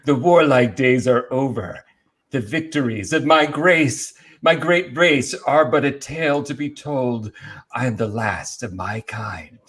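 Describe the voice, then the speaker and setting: A man's voice: medium pitch, fairly bright tone, natural voice. Man playing a proud, grandiose old vampire count. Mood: proud, indignant. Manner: formal, grandiose, deliberate. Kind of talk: amateur live dramatic table read.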